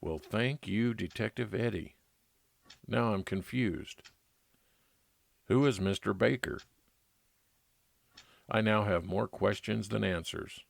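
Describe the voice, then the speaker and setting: Low-voiced private eye, acted by a man. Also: takes it slowly, vocal fry, long pauses, no echo, exaggerated voice. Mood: puzzled, brooding. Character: world-weary, wry male private investigator narrating his case. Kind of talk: old-time radio noir narration and dialogue.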